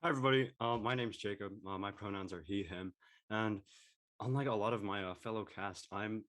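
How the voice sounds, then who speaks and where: A male high school student chatting casually as a young actor, tentative and thinking aloud. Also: brisk, fairly bright tone. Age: teens, high school student. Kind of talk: casual chat.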